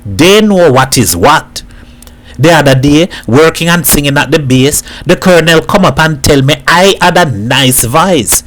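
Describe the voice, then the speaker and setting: A proud Trinidadian show-off from a storybook , played by a man narrating. His voice sits fairly high and he puts his words across emphatically and boastfully. He likes to sound American, though the island is plain in his speech. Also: expressive, unhurried, fairly bright tone, slight background noise.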